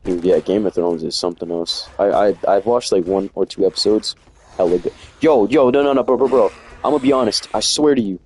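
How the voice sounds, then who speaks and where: A man's voice: lowish voice, brisk, a little mellow, husky. A young man chatting casually and rambling in slang while streaming a game from home. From United States. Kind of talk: casual chat while playing.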